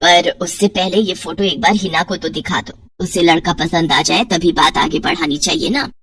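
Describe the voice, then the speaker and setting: A woman's voice: on the low side, steady tone, normal speed, a bit bright, slightly rough, distant mic, exaggerated voice. A woman voicing an exasperated middle-aged mother who grumbles and nags in a Hindi cartoon story. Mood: calm, practical. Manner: grumbling, complaining.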